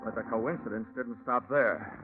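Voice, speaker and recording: A man's voice: medium pitch, moderate pace, close to an everyday voice. Wry hard-boiled radio detective played by a man, tinny. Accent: American. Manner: clipped, wry, dry delivery. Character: hard-boiled, wisecracking male freelance insurance investigator. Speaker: lead actor, narrator. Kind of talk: 1940s radio detective acting. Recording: tinny vintage radio recording, music behind.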